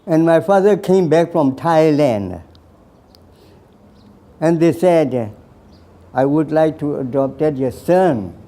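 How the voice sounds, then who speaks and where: Slow-speaking man in his nineties. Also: a little high, animated, warm tone. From Burma. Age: 90s. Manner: hesitant, with pauses and repeated phrases. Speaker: veteran recounting his life. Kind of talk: slow personal storytelling.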